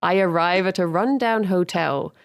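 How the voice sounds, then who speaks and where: A woman's voice: on the low side, normal speed, creaky, clear, resonant tone. A woman podcast host reading a guest's dream diary aloud, breaking into frequent laughter. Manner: giggly, reads with frequent laughter.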